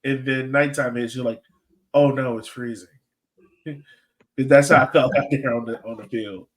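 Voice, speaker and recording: A man's voice: medium pitch, steady tone, measured pace. A man hosting a casual sports podcast, talking informally and thinking aloud as he rambles through his analysis. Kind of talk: casual soccer fan chat and analysis.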